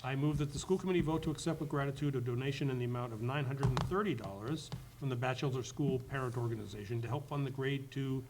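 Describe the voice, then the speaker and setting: A man's voice: medium pitch, fast talker, hardly any pauses. Male committee member reading formal motions. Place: school meeting room. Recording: noisy public meeting room recording.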